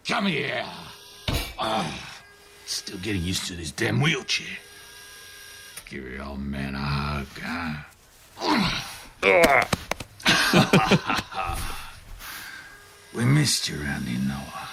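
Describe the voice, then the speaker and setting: An actor playing an affectionate, stubborn older father who is gruff and teasing, in an audio drama. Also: low voice, very animated, very slow, long pauses, pushed and bright, slight background noise, exaggerated voice. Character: warm, stubborn ageing father in a wheelchair.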